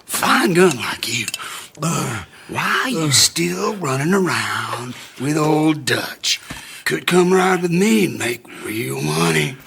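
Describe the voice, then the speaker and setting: An actor playing a sly, menacing older outlaw gang leader in a video game, with a gravelly, theatrical drawl. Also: male voice, a little high, sing-song, slow, a bit bright, heavily acted. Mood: taunting menace. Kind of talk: video game cutscene acting.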